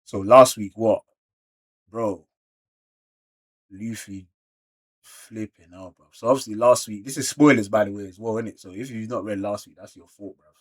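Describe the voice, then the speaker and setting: Male reaction creator, London British accent, casual and slangy, slightly rough-edged voice, excitable outbursts alternating with muttered comments. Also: lowish voice, moderate pace, long pauses. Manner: slangy, animated exclamations mixed with muttered asides. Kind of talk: casual manga read-along reaction.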